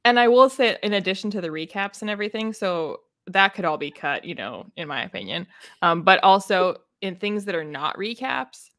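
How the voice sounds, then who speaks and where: A young woman co-hosting a book livestream in casual chat, with a wry, animated way of telling things. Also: medium pitch, normal speed, no room sound, studio quality. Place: small book-lined room at home, on a video call.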